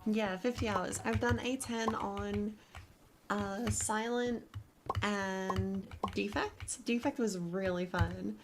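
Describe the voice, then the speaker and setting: A woman with an Australian accent chats easily on stream, drifting between thoughts with frequent laughs and fillers. Her pitch sits mid-range. A steady hiss runs under the recording. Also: takes it slowly. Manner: laughs often, relaxed rambling with fillers. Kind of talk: casual chat.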